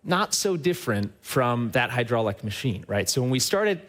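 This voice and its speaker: An enthusiastic man giving a live presentation, his pitch sitting at an ordinary middle level for a man. He speaks straight to the crowd in front of him and keeps checking in with a quick tag question as he explains. The delivery stays animated and conversational rather than scripted. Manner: addresses the audience directly. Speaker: company CEO giving a stage talk. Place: trade show stage before a live audience.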